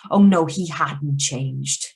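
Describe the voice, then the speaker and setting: A woman's voice: low voice, slow pace, gravelly, a little mellow, exaggerated voice. A woman acting an anxious young woman living under a false identity, in a radio suspense play read over a video call. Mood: haunted. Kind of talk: 1940s-style radio suspense narration and dialogue. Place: remote live reading over video call.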